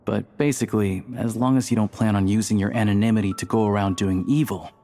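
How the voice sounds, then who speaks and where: Man acting a low-voiced, easygoing young guy. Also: fairly even intonation, dark tone, faint music behind, close to an everyday voice. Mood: calm, explanatory. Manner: casual, explanatory, measured. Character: easygoing, level-headed young male secret society member. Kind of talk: video game voice acting.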